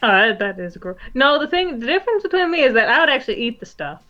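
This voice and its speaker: A woman's voice: sits high, very animated, fast talker, bright, forceful tone. Dry, teasing woman on a muffled mic. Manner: dry, teasing, casual swearing. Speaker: co-host on a game stream. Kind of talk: casual gaming stream chat. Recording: dull lo-fi mic.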